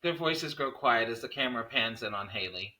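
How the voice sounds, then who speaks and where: A male narrator reads screenplay scene headings and action. His voice is mid-pitched with a bright, forward edge, delivered as scripted narration. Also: animated, a little fast, a little hiss or hum. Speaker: narrator reading stage directions.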